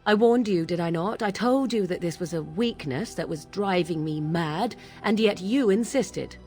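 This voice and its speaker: An actress playing a proud, sharp-tongued young witch in a video game, with haughty, dramatic, old-fashioned speech. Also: lowish voice, faint music behind, natural voice. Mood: anguished, pleading. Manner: haughty, dramatic, halting under emotion. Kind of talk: video game character acting.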